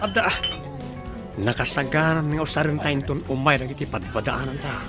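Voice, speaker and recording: A man's voice: mid-range voice, normal speed, music in the background, natural voice. A man acting a teasing, merry drinking companion in a radio comedy drama.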